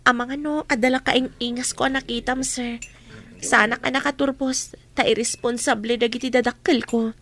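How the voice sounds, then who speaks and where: A woman's voice: on the high side, fairly even intonation, moderate pace, fairly bright tone. A woman playing a burdened, sorrowful young eldest daughter in a radio drama, with a youthful, plaintive and earnest delivery. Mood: sad, earnest.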